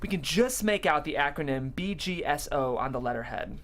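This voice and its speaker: A woman's voice: low voice, lively intonation, fairly quick. A woman reading scripted stage directions as narrator at a comedy table read.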